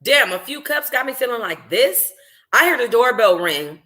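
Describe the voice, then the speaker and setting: A woman reading a first-person novel aloud informally as a book club host, in an expressive, conversational, slangy way. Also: on the high side, moderate pace.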